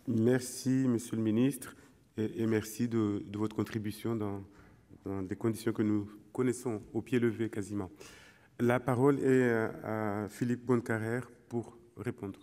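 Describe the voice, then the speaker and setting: A man's voice: fairly low pitch, steady tone, unhurried, mellow. A man chairing a formal session, giving brief procedural thanks and handing over the floor. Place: large parliamentary chamber. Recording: echoey reverberant chamber.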